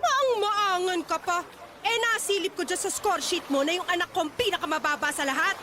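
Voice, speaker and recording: A woman's voice: very high-pitched, brisk, background noise. A woman with a Filipino accent voicing a domineering, pushy middle-aged stage mother in a radio drama, bossy and insistent. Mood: angry. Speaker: voice actor.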